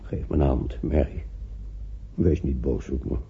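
A man's voice: a bass voice, measured pace, vocal fry, a little hiss or hum, exaggerated voice. An actor playing a lonely, paralysed man in his seventies in a radio play, querulous and self-pitying, with plaintive swings from murmur to outburst. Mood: tender, tired. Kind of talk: radio play acting in Dutch. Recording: old radio play, narrow phone-like muffled sound.

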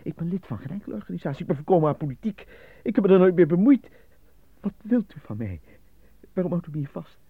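An anxious, animated stage actor. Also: male voice, high-pitched, fast talker, husky, dry recording, heavily acted. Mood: agitated, pleading. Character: anxious, apolitical stage actor pleading under interrogation. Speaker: actor in a radio play. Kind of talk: Dutch radio drama acting. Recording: dull lo-fi radio drama recording.

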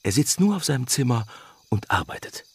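An actor playing a wry, gossipy educated gentleman with a husky voice, savoring his words in a radio drama. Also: mid-range voice, big pitch swings, a little fast, no room sound, exaggerated voice. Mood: wry relish.

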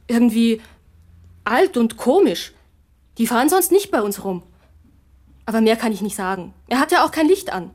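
A woman's voice: on the high side, animated, normal speed, long pauses, a little mellow. An actress playing a cheeky, mercenary teenage girl witness, offhand and colloquial, in a radio crime drama.

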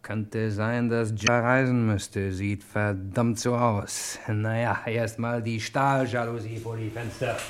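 An actor playing a gruff, clipped, grumbling detective who swears a lot in an old German radio crime drama. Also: male voice, lowish voice, flat delivery, slow pace, few pauses. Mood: brooding, determined. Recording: noisy old radio play recording.

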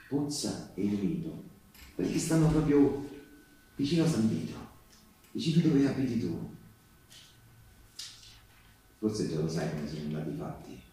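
A man playing a storyteller of partisan war tales, delivering dramatic theatrical narration with emphatic repetitions and pauses. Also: deep voice, a little hiss or hum. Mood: intimate, subdued. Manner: emphatic repetitions, swinging from urgent cries to hushed pauses. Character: man telling partisan war stories to Teresa, intimate and grave. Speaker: stage actor and storyteller. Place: theatre-like echoing space with live musical accompaniment. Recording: echoey live stage, distant mic, music behind.

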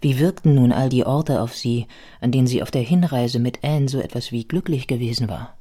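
A man playing a reflective biographer who narrates a radio play in sober literary prose, with a husky voice. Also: medium pitch, fairly quick, warm tone, close to an everyday voice. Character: reflective biographer recounting a life with sober sympathy. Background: music.

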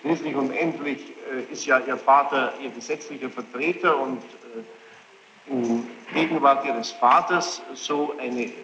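A man questioning a witness as a judge in formal, halting German phrasing, insistent and reproachful. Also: mid-range voice, pushed and bright. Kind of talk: courtroom interrogation, spontaneous formal speech. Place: large echoing courtroom. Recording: dull, noisy archive courtroom tape, echoey hall.

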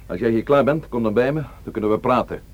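An actor playing an unflappable police inspector in a radio drama, asking measured, dryly teasing questions. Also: male voice, medium pitch, close to an everyday voice. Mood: calm, insistent.

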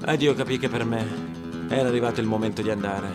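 A man's voice: mid-range voice, steady tone, quick pace, over loud music. A young man narrating a theatrical monologue, emphatic and dramatic, playing himself as a pleading young actor.

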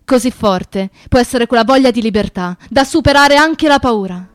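A woman delivering a dramatic scripted monologue, solemn and emphatic, with deliberate repetition. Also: fairly high pitch, dry recording. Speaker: actress and narrator.

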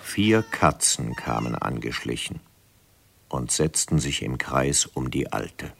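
A man narrating a radio drama as a storyteller, measured and suspenseful. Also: extremely low pitch, lively intonation, vocal fry, a bit bright, a little hiss or hum, heavily acted.

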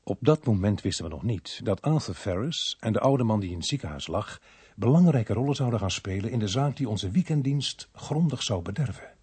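An actor playing a brisk, matter-of-fact police inspector who narrates his own case in a radio drama. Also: fairly low pitch, expressive, a little mellow, slightly rough, dry recording. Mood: measured narration.

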